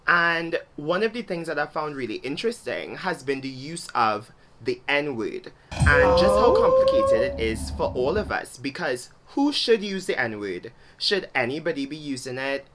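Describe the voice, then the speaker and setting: A man's voice: medium pitch, pushed and bright. A young man with a Trinidadian accent, from Trinidad and Tobago, explaining his thoughts in a solo vlog in a thoughtful, explanatory way. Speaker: vlogger. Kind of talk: solo talk-to-camera vlog.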